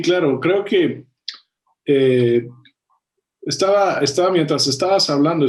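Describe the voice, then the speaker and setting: A man's voice: mid-range voice, a little mellow. Thoughtful man, mid-range voice. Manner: thoughtful, many filler pauses and self-corrections. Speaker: panel guest. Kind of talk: analytical panel discussion.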